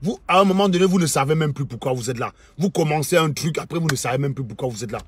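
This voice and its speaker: A man's voice: on the high side, lively intonation, quick pace, breathy edge, dry recording. A middle-aged man with a Cameroonian accent, delivering an opinionated live monologue to online followers in a mocking, combative manner, repeating phrases for emphasis. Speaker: livestream host.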